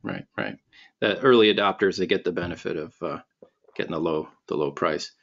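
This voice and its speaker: Low-voiced man hosting a podcast. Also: fairly quick, highs cut off. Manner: relaxed, with fillers and repeated starts. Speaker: podcast host. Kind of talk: casual interview questions.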